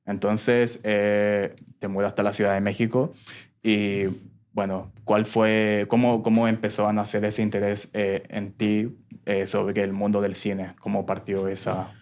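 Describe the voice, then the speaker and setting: Guy hosting a podcast, low flat voice, lots of um and restarts. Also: a little slow. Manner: hesitant, many restarts and fillers. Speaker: podcast host. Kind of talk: casual interview hosting.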